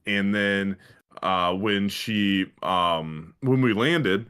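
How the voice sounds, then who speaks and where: A man co-hosting a podcast, telling comic anecdotes in a halting, slangy way with heavy swearing. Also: fairly low pitch, fairly bright tone, smooth. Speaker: podcast co-host. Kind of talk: casual comic anecdote chat.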